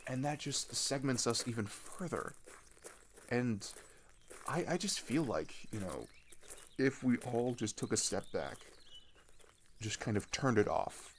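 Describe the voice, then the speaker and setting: A young man playing an earnest, frustrated teenage traveller in an ASMR audio roleplay, talking in a casual, halting, rambling way. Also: mid-range voice, expressive, a little hiss or hum.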